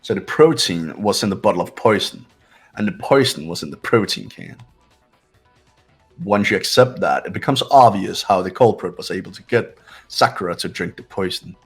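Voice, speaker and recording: A man reading a game character's lines in a calm, measured, slightly smug way, among friends. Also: low-pitched, breathy edge, soft background music. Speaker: player voicing a game character.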